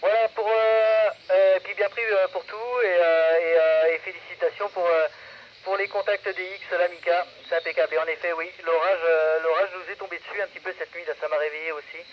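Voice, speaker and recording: A man casually hosting an amateur radio net, speaking in halting, hesitant phrases into a radio microphone. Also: sits high, fairly even intonation. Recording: muffled radio audio with background noise.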